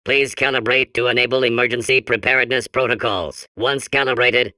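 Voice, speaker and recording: A man's voice: mid-range voice, steady tone, fairly quick, sharp tone, clear, resonant tone, dry recording. A man voicing an officious but cowardly emergency robot in a comedy game, announcing formally and anxiously. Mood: officious, fearful. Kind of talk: comedic video game character acting.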